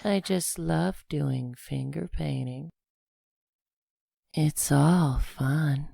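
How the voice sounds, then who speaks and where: A man playing a creepy child killer posing as an ice-cream vendor, with a raspy voice and a slow, falsely sweet sing-song delivery in a comic dub. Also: on the high side, mellow, dry recording, clean studio sound, full character voice. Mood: gloating. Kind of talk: comic dub villain acting.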